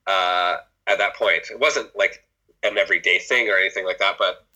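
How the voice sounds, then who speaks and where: A man's voice: deep voice, steady tone, normal speed, pushed and bright, rough recording. A middle-aged man, a former ski coach, talking openly and emotionally in a personal conversation, swearing freely and often restarting his sentences.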